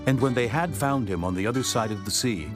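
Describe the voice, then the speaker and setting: A man narrating scripture from a script in measured, formal storytelling. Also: medium pitch, music in the background.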